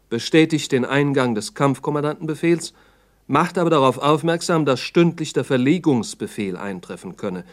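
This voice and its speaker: Sober male radio narrator, mid-pitched. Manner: sober, factual, formal report delivery. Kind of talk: scripted documentary narration in 1960s radio play.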